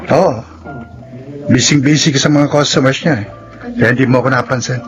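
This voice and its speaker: A man's voice: mid-range voice, moderate pace, over music, natural voice. An actor playing a defiant, sullen young man with an insolent manner in a Filipino radio drama. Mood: cheeky, sullen. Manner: insolent, retorting.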